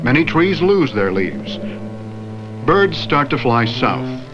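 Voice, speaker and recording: Guy narrating an old school film, slow and clear like a teacher, lively up-and-down voice, muffled old soundtrack. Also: mid-range voice. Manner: slow, clear, teacherly delivery with questions to the viewer. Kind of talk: scripted educational narration. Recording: dull vintage film soundtrack with faint music.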